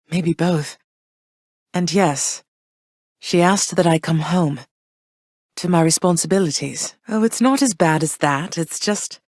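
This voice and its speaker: An actress playing a warm yet firm, gently wry mother goddess in a video game, speaking in measured, formal, old-fashioned phrases. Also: low voice, no room sound, studio quality. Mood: tender, conflicted.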